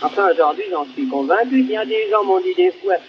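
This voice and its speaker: A man's voice: high-pitched, animated, fairly quick. A man from Paris with a Parisian accent and a drawling voice, chatting as an interviewee on a muffled, noisy early recording. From Paris, France. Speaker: interviewee, upholsterer. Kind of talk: informal interview conversation.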